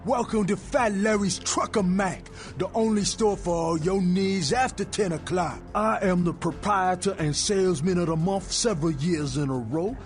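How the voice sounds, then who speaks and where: Man acting a swaggering, high-voiced street hustler. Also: normal speed, few pauses, soft background music, natural voice. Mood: showy, boastful. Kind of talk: video game character acting.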